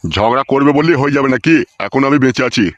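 A man's voice: mid-range voice, fast talker, no room sound, natural voice. A man playing a questioning middle-aged village husband and father in a comedy cartoon. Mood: protesting. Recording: muffled radio-like sound.

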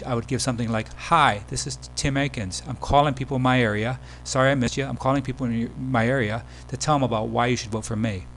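A man giving a casual, step-by-step software tutorial as the presenter of a screen demo. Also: mid-range voice, rough recording. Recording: background hum and noise.

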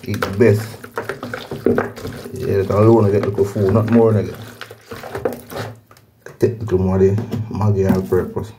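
A man's voice: sits low, level tone, moderate pace, long pauses. A man with a Jamaican accent casually narrating his cooking as host, often repeating phrases and tags. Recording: clear background noise, pot sizzling and clatter.

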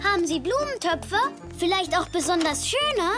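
A performer voicing an earnest, caring little zebra boy in a German-dubbed children's cartoon, speaking in an expressive, childlike way. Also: very high-pitched, moderate pace. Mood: cheerful, eager. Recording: loud music behind.

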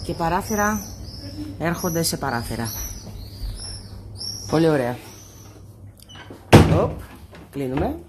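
Lively low-voiced woman filming a tour. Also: long pauses. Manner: short exclamations and remarks. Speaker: mother filming a family travel vlog. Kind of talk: casual chat, room-tour commentary. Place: small stone-walled holiday apartment. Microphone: behind a handheld camera. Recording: faint background noise.

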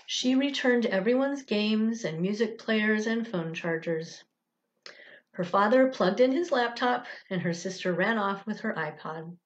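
A woman reading a children's story aloud in an expressive, deliberate storytelling manner. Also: medium pitch, lo-fi sound. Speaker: director of religious education, storyteller.

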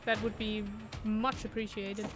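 A woman's voice: medium pitch, flat delivery, measured pace, heavy background noise. A young woman with a German accent, chatting casually and laughing as she plays a video game and answers chat. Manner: chatty, laughs often, reads chat aloud. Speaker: streamer. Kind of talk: casual gameplay commentary.

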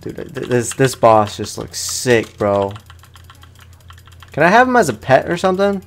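A man with a mid-pitched voice gives casual commentary as he plays a video game. He is chatty and playful, talking in loose slang as the host of a let's play. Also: very animated, unhurried, slight background noise.

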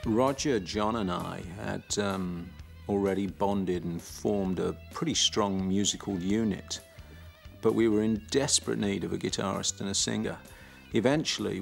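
A man telling the story of his band in a documentary interview, in a measured way. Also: low voice, creaky, faint music behind. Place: dark studio set.

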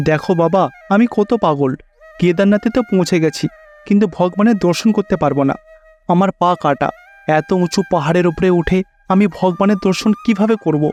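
Man voicing a high-pitched, pleading old pilgrim villager. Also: normal speed, darkish tone, lo-fi sound, close to an everyday voice. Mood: sorrowful. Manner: pleading, exclamatory. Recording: faint music behind.

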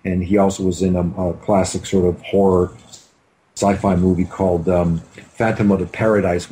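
A man's voice: low voice, mellow, rough recording. A man, a film director reminiscing in an interview in a hesitant, rambling way over a video call. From Canada. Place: home room with bookshelves. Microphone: computer mic on video call.

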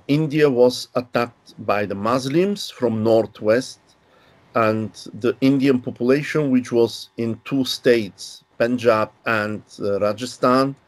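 A man in his sixties from Bulgaria, a linguistics professor explaining history in measured, unhurried interview answers over a video call from a home study. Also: mid-range voice, a little mellow. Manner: measured, unhurried, with pauses and fillers.

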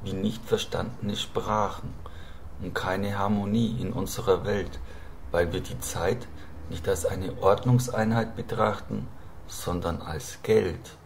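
A man's voice: low-pitched, fairly even intonation, takes it slowly, creaky, slight background noise. A man narrating a scripted, dramatic monologue and story as a storyteller. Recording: loud music over the voice.

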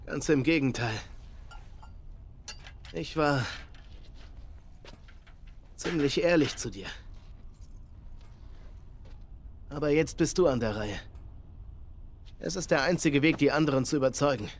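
A middle-aged actor playing a calm, menacing leader of survivors in a video game, soft-spoken, persuasive and condescending. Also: male voice, fairly high pitch, a little slow, pauses often, slight background noise, lightly acted. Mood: coaxing. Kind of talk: dramatic video game cutscene acting.

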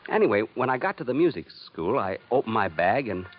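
A man's voice: medium pitch, very animated, a little fast, slight background noise, natural voice. An actor playing a flustered, stubborn married man in a 1950s radio drama, stammering when upset. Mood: anxious explaining. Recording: muffled 1950s radio recording with music.

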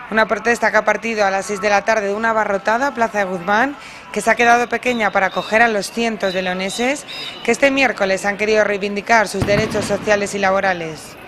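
A woman's voice: medium pitch, background noise. A woman reporter reading a scripted news voice-over in a formal, emphatic way.

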